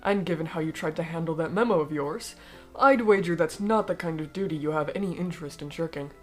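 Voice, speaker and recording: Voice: medium pitch, soft background music, lightly acted. A performer in a fan dub voicing a cold, aristocratic alien noble who speaks formally and eloquently, bitter yet persuasive. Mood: measured, insistent. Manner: formal, eloquent, measured speech. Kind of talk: fan-dub voice acting of comic dialogue.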